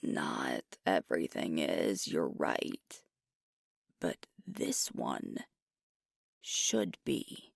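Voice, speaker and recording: Woman playing a slow, breathy werewolf alpha girlfriend. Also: a bass voice, wildly swooping pitch, dry recording, studio quality, full character voice. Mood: earnest, resolute. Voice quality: breathy, often whispering. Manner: hesitant, tender, earnest, with long pauses. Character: sweet, self-critical alpha werewolf girlfriend, burdened pack leader. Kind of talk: intimate ASMR roleplay.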